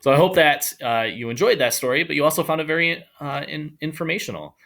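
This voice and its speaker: A man reading a children's story aloud in an animated storytelling style. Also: a little high, fast talker, gravelly. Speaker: storybook reader.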